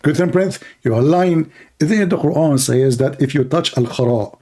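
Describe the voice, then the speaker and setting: Brief: Arabic-accented male debate host, sarcastic, slightly raised pitch. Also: moderate pace, dark tone, breathy edge. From Middle East. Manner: sarcastic, combative. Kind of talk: debate livestream.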